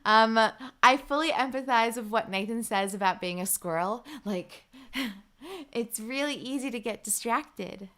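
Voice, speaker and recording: A woman's voice: a little high, a little slow, a bit bright. A young adult woman, hosting a casual livestream, chatting and reading out viewer comments with lots of ums. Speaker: livestream host.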